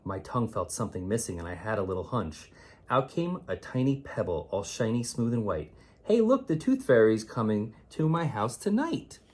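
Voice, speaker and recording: Low-voiced man reading to children, slightly husky. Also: very animated, normal speed, a little mellow. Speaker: teacher reading a picture book aloud. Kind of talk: expressive children's read-aloud of rhyming poems.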